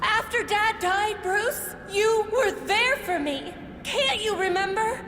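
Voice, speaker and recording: Young superhero girl, earnest n determined, game cutscene acting, slowish, echoey. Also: extremely high pitch, over loud music. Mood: desperate pleading. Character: young female superhero, loyal, defiant, earnest. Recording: echoey reverberant space, loud background noise.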